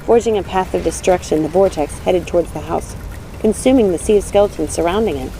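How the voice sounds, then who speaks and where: A woman reading a story as an audiobook narrator, expressive and suspenseful in a dramatic scripted style. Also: on the low side, brisk, slight background noise, poor audio.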